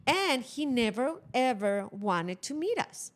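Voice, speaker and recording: A woman's voice: high voice, big pitch swings, slow. Blunt middle-aged woman chatting. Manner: blunt, opinionated. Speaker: podcast co-host. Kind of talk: casual conversational storytelling.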